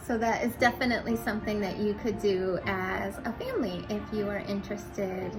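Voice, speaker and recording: A woman's voice: medium pitch, fairly quick, over music, low-quality recording. A woman reading a storybook aloud and chatting casually to young viewers and families. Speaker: teacher reading aloud to children.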